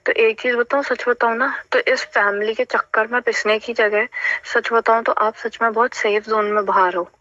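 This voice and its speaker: A woman's voice: on the high side, steady tone, moderate pace, pushed and bright, dry recording. A young adult woman reasoning things out earnestly and a little hesitantly in a casual personal phone conversation. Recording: dull, lo-fi recorded phone call.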